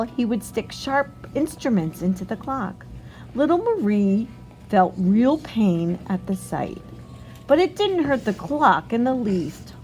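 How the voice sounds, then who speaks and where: A woman reading a storybook aloud like a teacher, expressive and instructive, pausing to put questions to young listeners. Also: medium pitch.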